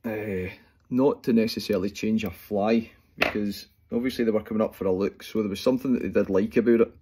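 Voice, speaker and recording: A Scottish man in his forties explaining fly tying step by step at home, talking in a relaxed way with plenty of fillers as he works. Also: fairly low pitch, husky. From Scotland. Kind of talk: casual talk-through tutorial. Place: small room at home, indoors. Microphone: phone camera close up.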